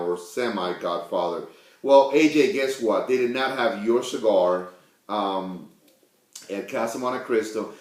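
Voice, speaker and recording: A talkative Cuban man hosting a casual cigar show, rambling irreverently and swearing mildly among friends. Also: fairly low pitch. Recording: echoey room.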